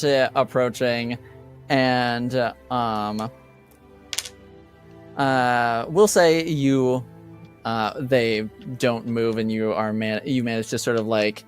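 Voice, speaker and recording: A man improvising narration as game master of a tabletop game, with a halting, hesitant delivery. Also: mid-range voice.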